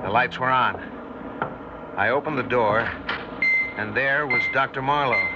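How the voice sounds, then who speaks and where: A man's voice: mid-range voice, unhurried, heavy background noise, natural voice. A man playing a haunted witness who recounts a terrifying encounter with tense drama in 1960s radio style. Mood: grave, haunted. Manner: tense, dramatic first-person storytelling. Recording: muffled old radio recording with music.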